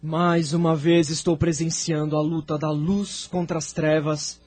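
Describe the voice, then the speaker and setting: A venerable spirit philosopher, played by a man with a Brazilian accent. His voice sits quite high for a male, and he declaims formal lines with measured, thoughtful solemnity, as in an audio novela. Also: level tone, a little mellow, soft background music. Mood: solemn, reflective. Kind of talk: scripted audio drama dialogue.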